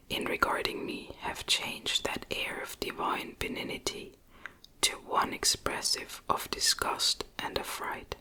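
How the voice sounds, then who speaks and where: Female ASMR audiobook reader, fully whispered, unhurried and deliberate, with a very bright, airy edge. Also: poor audio. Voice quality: soft, breathy, unvoiced whisper. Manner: slow, gentle, deliberate reading. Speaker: audiobook narrator.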